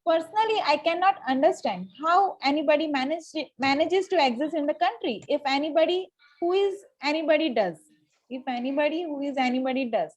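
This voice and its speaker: A woman's voice: high-pitched, a little fast, lightly acted, rough recording. A woman reading the part of a haughty, coolly polite young upper-class lady in a Victorian stage comedy, formal with small stumbles. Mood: icily polite. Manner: formal, stumbles over lines. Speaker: table-read participant.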